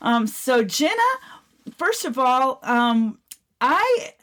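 Casting: middle-aged American woman with a higher-pitched voice, effusive, chatty interviewer for casual radio. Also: big pitch swings, slow pace, fairly bright tone. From Florida, United States.

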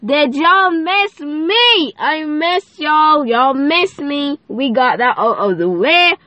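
A woman's voice: sits high, animated, slow pace, pushed and bright, no room sound. A teenage girl with a lilt chats away on her podcast, bubbly and playful, full of fillers and giggly asides. Her voice sounds stuffy and nasal from a cold. She is recorded close up in a dry, dead space. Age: teens. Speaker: podcast host. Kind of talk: casual faith podcast chat.

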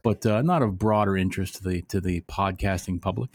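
A man's voice: deep voice, fairly quick, darkish tone, no room sound. A man co-hosting a casual podcast, trading dry jokes with his partner. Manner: dry, joking. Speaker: podcast co-host.

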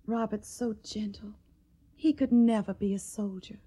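An actress playing an earnest, devoted young Tennessee daughter in a radio drama, with a soft, breathy, tender way of pleading. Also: female voice, mid-range voice, fairly even intonation, unhurried. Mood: anxious.

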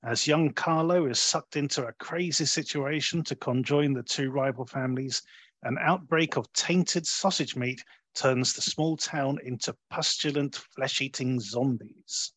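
A man's voice: mid-range voice, unhurried, fairly bright tone, dry recording. Close, dry-sounding man reviewing films. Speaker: film review podcast host. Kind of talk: conversational film review.

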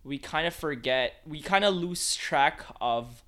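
A man's voice: a little high, expressive, measured pace, sharp tone. A man from the Philippines who works as a photographer, chatting casually and filling his talk with "like" and "man". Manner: laid-back, many fillers like "like" and "man". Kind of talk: casual chat.

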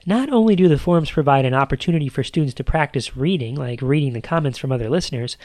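A man's voice: medium pitch, lively intonation, quick pace, darkish tone, dry recording, clean studio sound. A man hosting a podcast, talking through a scripted monologue in a conversational way, with playful emphasis on lists. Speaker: podcast host.

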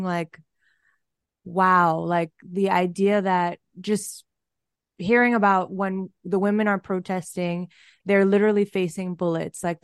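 A woman's voice: mid-range voice, clear, resonant tone, no room sound, clean studio sound. A woman hosting an interview in a casual, chatty way, with many filler words. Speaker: host.

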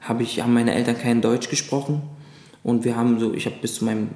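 Guy in his 30s, arabic first language, halting and thoughtful, roomy echo around him. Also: medium pitch, fairly even intonation, a little mellow. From Lebanon. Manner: hesitant, recalling childhood memories with frequent pauses. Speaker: guest, actor. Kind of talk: casual interview chat. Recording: echoey room.

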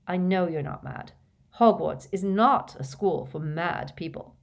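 A woman voicing an elderly male wizard headmaster who speaks in a patient, measured, courteous way, read as part of an audiobook. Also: lowish voice, vocal fry. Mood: calm. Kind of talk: audiobook character voice.

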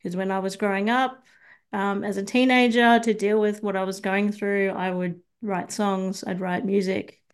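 A young woman with an Australian accent, a guest on an interview podcast, speaking hesitantly with many ums and soft, trailing phrases. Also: medium pitch, level tone, moderate pace, clean tone. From Sydney, Australia. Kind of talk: casual interview chat.

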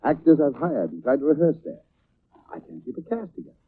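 An actor playing a worried, pleading theater owner in a 1940s radio drama. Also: male voice, on the high side, wildly swooping pitch, fairly quick. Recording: hissy narrow-band old radio recording.

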